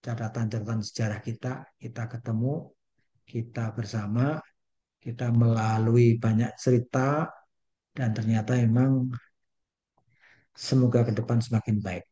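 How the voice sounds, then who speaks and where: Older man lecturing, mellow voice. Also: medium pitch, steady tone, measured pace, long pauses, highs cut off. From Indonesia. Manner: folksy, peppered with English phrases and rhymes. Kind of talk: online lecture. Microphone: video call.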